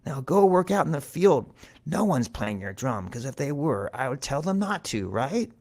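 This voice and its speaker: A man's voice: mid-range voice, very animated, normal speed, vocal fry, a little mellow, pitched above the performer's own voice. A man voicing a sly little male mouse in an animal folktale, a boastful, wheedling creature that is easily panicked. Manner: wheedling, stammering when cornered.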